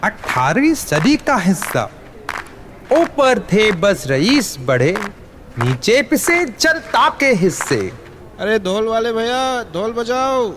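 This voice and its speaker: An Indian-accented actor playing an exuberant street-theatre balladeer who declaims rhymed narration theatrically. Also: male voice, high-pitched, sing-song, slow, background noise, exaggerated voice. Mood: rousing, playful. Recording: music under the voice.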